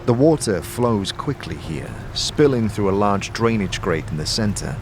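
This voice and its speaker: A man's voice: on the low side, animated, normal speed, noisy background. A man narrating a fantasy audio drama in the second person, as a game master does.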